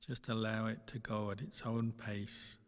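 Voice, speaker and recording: A man teaching as a meditation guide, giving gentle, unhurried instructions with long pauses between short phrases. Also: fairly low pitch, flat delivery. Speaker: Buddhist monk and meditation teacher. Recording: long hall reverb.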